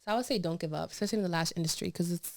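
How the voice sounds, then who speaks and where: A young woman with a soft voice, a lash technician chatting casually as a podcast guest, laid-back and laughing often. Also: lowish voice, very fast, gravelly, dry recording, clean studio sound.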